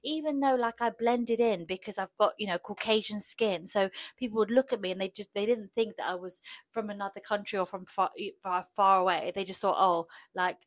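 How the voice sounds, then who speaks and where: A woman's voice: mid-range voice, brisk, no room sound. Frank, jokey woman. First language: Polish. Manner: frank, jokey, animated storytelling. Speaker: comedian. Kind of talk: casual monologue.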